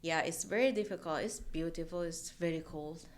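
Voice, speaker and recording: A woman from Iran with a Persian accent, chatting as a podcast guest, laughing often and speaking in halting, non-native phrasing. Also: low voice, a little hiss or hum. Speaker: podcast guest, mortgage loan officer. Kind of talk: casual interview chat.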